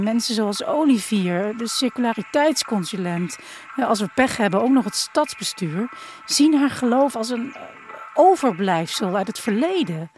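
A woman narrating an audio drama in the first person, reflective and intimate in her storytelling. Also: fairly high pitch. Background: music.

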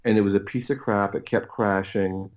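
A man's voice: fairly low pitch, steady tone, darkish tone. A middle-aged man telling stories casually as an interview guest, often doubling back and breaking off his phrases. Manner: halting, repetitive, rambling anecdotes with self-interrupted phrases. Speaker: podcast guest, writer and audio producer.

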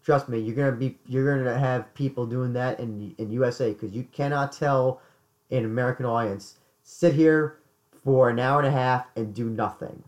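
A man co-hosting a casual podcast chat, hoarse, often stumbling and repeating words. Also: mid-range voice, fairly even intonation, normal speed, darkish tone. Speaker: podcast co-host.

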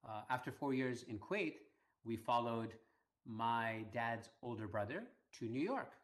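Soft-spoken man telling his story. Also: mid-range voice, animated. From Iran. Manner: measured, with frequent fillers. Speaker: guest. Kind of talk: conversational interview.

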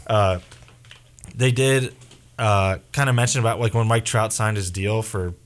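A man with a fairly low voice leads a relaxed sports conversation, wandering from point to point and often trailing off into filler words before finding his thought again. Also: measured pace. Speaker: podcast host. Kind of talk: casual sports chat.

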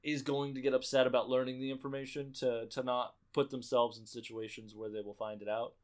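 A man's voice: medium pitch, level tone, fairly quick. A man co-hosting a casual gaming podcast, laid-back and profane, with laughing asides. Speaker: podcast co-host. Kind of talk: casual chat.

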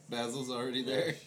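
A man's voice: mid-range voice, a little hiss or hum. A man laughing and joking with a friend as they watch a movie together, quoting lines back. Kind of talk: casual chat while watching a film.

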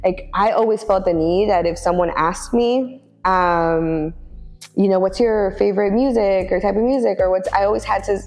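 A woman's voice: medium pitch, normal speed, a little mellow, long reverb tail, soft background music. A woman from Oakland, California, talking as a podcast guest in a reflective way, with many fillers and restarts and easy laughs. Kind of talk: casual podcast conversation.

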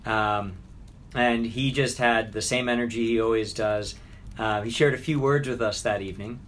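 A man co-hosting a casual conversational recap, haltingly searching for his words. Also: mid-range voice, fairly even intonation, a bit bright, slight background noise. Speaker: podcast co-host, charity staff.

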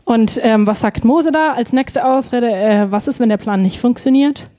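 A woman's voice: a little high, moderate pace, mellow. A woman interpreting a sermon live, phrase by phrase, hesitating and feeling for words with frequent ums.

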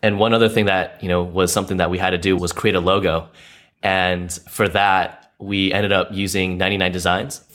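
A man in casual conversation, explaining things in a measured way with frequent fillers. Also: low voice, little pitch movement, fairly bright tone. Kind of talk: casual chat.

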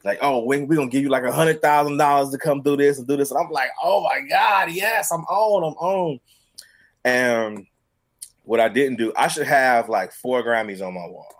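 A man's voice: mid-range voice, normal speed. A man with an American accent casually telling stories and giving advice in an emphatic, colloquial way as a guest musician. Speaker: musician and producer, podcast guest.

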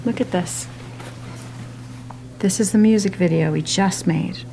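A woman's voice: mid-range voice, unhurried, gravelly, noisy background. An actress playing a patient, tender middle-aged daughter visiting her confused mother, speaking naturally and reassuringly in an audio drama. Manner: gentle, reassuring.

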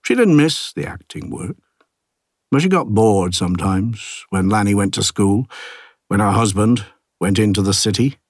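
A man narrating an audiobook as an ageing village artist, a plain-spoken, gruff and reflective loner, with a husky low voice and a wry, understated delivery. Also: animated, normal speed, no echo, studio quality. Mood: fond, wry. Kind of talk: first-person literary audiobook narration.

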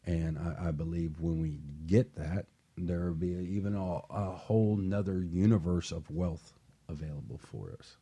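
Male motivational radio host, deep and husky, earnest and emphatic in delivery. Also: moderate pace, dark tone. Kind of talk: motivational talk show discussion.

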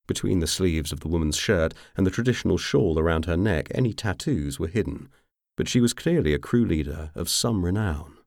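Low-voiced male audiobook narrator, close and dry. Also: lively intonation, fairly quick, vocal fry, darkish tone, no echo. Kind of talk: scripted fiction narration.

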